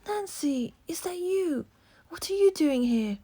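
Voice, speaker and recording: A woman voicing a young orphan boy, earnest and frightened, pleading in a high voice. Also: moderate pace, a little mellow, lightly acted.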